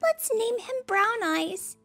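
Squeaky, eager little girl bear cub. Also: expressive, slow, fairly bright tone, faint music behind, exaggerated voice. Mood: pleased. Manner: pleading, squeaky. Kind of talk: children's storybook character.

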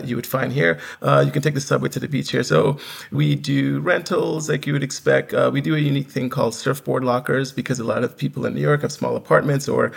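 An earnest man phones in to ask for advice, his voice heavy with gravel and a husky edge. He talks haltingly, leaning on fillers and restarting his sentences as he explains himself. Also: medium pitch. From Barbados. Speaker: caller, surf shop founder. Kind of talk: casual call-in chat.

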